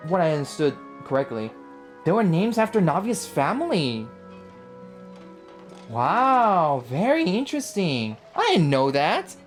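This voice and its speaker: A woman giving casual, reactive gameplay commentary as a video host, thinking aloud in a halting, repetitive way. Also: mid-range voice, a little mellow. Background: game music.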